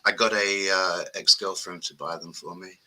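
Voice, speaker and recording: A man with an Australian accent and a very deep, low voice, talking about his sneakers in a relaxed, laid-back way on a livestream call. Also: normal speed, a little hiss or hum, poor audio. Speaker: sneaker collector guest. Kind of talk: casual livestream chat.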